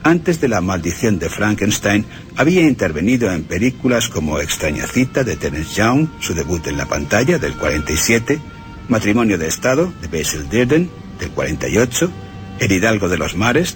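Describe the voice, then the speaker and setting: Deep-voiced man narrating with wide intonation. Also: very animated, moderate pace, faint music behind. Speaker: radio presenter. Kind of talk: scripted film-history narration with dramatic readings.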